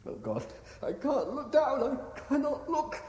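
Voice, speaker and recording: A man's voice: extremely high pitch, huge pitch swings, unhurried, exaggerated voice. A British actor playing an anxious, lovesick man terrified of heights in a radio drama, pleading and urgent. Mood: panicked. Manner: pleading, urgent, emotionally wrought. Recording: echoey, noisy vintage radio drama recording.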